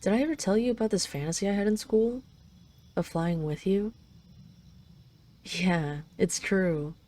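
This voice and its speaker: A woman's voice: mid-range voice, measured pace, mellow, natural voice. A woman voicing a possessive yet tender dragon queen in an intimate roleplay audio, teasing and coaxing the listener. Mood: wistful, teasing.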